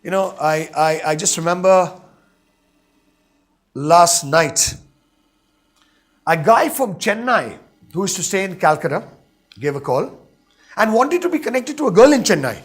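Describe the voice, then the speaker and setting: A man's voice: sits high, very animated, unhurried, long pauses. A middle-aged man with an Indian English accent, a radio DJ giving an emphatic motivational talk on stage and building his points through repeated, rhythmic lists. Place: stage in a hall. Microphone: stage microphone.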